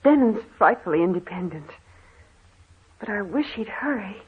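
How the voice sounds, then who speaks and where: Anxious sister for a 1930s radio thriller; fretful, pressing delivery, thin phone-like vintage sound. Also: female voice, mid-range voice, expressive, moderate pace, slightly rough. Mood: worried. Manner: urgent, fretful. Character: anxious, solicitous sister pleading with her gruff brother. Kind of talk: 1930s radio drama acting. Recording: scratchy, narrow-band 1930s radio recording.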